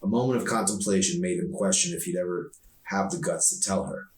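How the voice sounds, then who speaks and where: A low-pitched man narrates a novel as its author, in an expressive storytelling style. The recording is roomy and echoey, with him a little away from the mic. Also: a little fast. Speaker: author reading his novel. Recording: echoey room, distant from mic.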